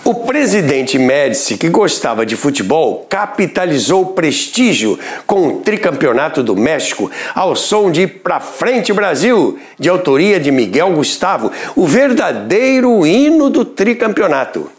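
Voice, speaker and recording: A man hosts a radio history program in scripted documentary narration. His voice is high and moves a lot in pitch. He tells the story at a measured pace, like a storyteller. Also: very animated, slight background noise. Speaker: radio history podcast host.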